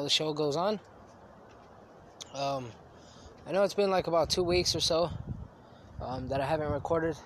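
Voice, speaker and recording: A man's voice: a little high, slight background noise. A man hosting his own podcast, talking casually with lots of fillers and restarts while he has a cold and sniffles. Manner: rambling, many fillers and restarts. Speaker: podcast host. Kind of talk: casual solo podcast talk.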